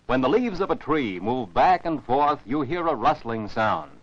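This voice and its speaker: A man's voice: mid-range voice, sing-song, moderate pace, a little hiss or hum. An American man narrates scripted science for children as an educational film narrator, in a didactic, carefully enunciated way. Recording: dull, dry 1949 film soundtrack.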